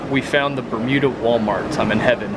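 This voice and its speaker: A man's voice: medium pitch, a little fast. A young man casually vlogging on a layover, joking and laughing as he films himself around town. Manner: laid-back, jokey, laughs often. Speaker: pilot vlogger. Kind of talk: casual vlog narration. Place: outdoors on the beach and in town. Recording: loud outdoor noise and crowd.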